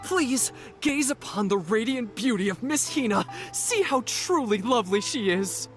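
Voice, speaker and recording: A woman's voice: a little high, lively intonation, a little slow, music in the background. A woman playing a devoted fan club leader in a video game, addressing people in a solemn, rousing, speech-like way. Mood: adoring.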